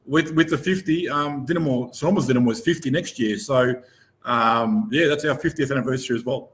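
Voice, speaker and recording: A man's voice: mid-range voice, brisk. A man in his fifties chatting casually as an interview guest. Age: 50s. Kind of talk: casual chat. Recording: noisy remote feed.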